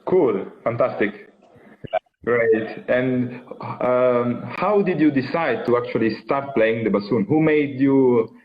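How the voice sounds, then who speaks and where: Slow-talking Italian man hosting a video call. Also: mid-range voice. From Italy. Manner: hesitant, friendly, halting phrasing with many fillers. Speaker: interviewer, bassoonist. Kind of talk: live-streamed interview. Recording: dull lo-fi video call audio.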